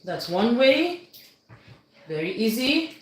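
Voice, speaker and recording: A woman's voice: a little high, sing-song, slow pace. A woman from Cameroon with a Cameroonian English accent, hosting a casual live baking demonstration and often checking in with her viewers. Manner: chatty, instructive, keeps checking in with viewers. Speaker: cooking livestream host. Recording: very echoey room, faint noise.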